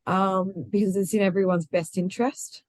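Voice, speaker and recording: A woman's voice: mid-range voice, steady tone, normal speed, dry recording, clean studio sound. A woman talking passionately as an interview guest, often restarting her sentences and laughing easily. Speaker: guest, recruitment founder. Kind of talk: conversational interview.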